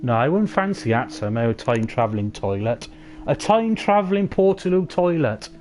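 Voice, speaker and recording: Sweary, lively man streaming a game. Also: mid-range voice, brisk. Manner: loud, sweary, rambling banter. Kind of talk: game livestream commentary.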